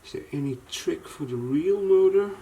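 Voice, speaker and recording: A man's voice: on the high side, expressive, moderate pace, mellow. A man giving a casual hands-on tutorial, thinking aloud to viewers as he works, in the manner of a repair video presenter. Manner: thinks aloud while working, talking to viewers.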